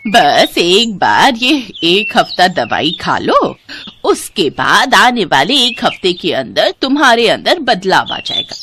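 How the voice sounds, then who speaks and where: A sly swindler posing as an old healer woman acted by a woman storyteller. She makes her pitch slowly and weightily, in storybook dialogue. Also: big pitch swings, bright, forceful tone, slight background noise, full character voice. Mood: cunning, persuasive.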